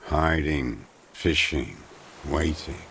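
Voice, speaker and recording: A bitter old commissar for a video game, super low croaky smoker voice, tired and terse. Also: male voice, animated, a bit bright, slight background noise, full character voice. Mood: weary. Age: older. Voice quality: gravelly, coughing smoker's voice. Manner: terse, halting, brooding. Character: bitter, weary old communist deserter, former political commissar. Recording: music under the voice.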